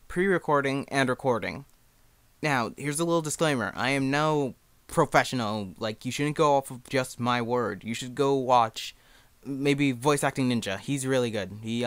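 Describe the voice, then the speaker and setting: A young man with a clear, smooth voice at an average pitch walks through a how-to in casual, informal language. The sound is dry and close, with no room on it. He is self-deprecating and speaks as an amateur helping beginners. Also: a bit bright, no room sound. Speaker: amateur fan dub creator giving a tutorial. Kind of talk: casual spoken tutorial.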